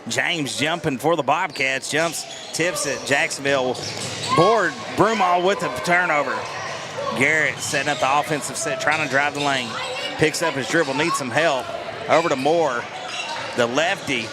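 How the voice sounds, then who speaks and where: Man, high school basketball play-by-play, slightly high voice, noisy gym. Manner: quick, excitable calls with friendly banter. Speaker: play-by-play announcer. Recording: loud gym noise behind. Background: gym crowd and bouncing basketball.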